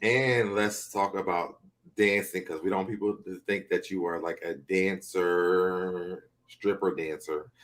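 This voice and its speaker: A man's voice: deep voice, steady tone, unhurried, fairly bright tone. A man co-hosting a casual interview, easygoing, chiming in briefly. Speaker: co-host.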